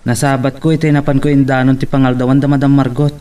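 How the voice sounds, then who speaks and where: A man's voice: mid-range voice, flat delivery, fast talker, warm tone, clear, resonant tone, recorded from a distance, natural voice. A man playing a respectful office errand worker in an Ilocano radio drama.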